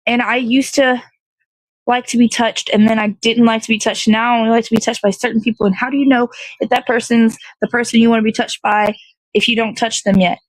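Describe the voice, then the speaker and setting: A woman's voice: a little high, flat delivery, fairly quick, no echo, low-quality recording. A woman hosting a casual livestream outdoors, chatting in a meandering way as she thinks aloud. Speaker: host of an online morning show. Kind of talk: casual rambling livestream chat. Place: outdoors in the cold.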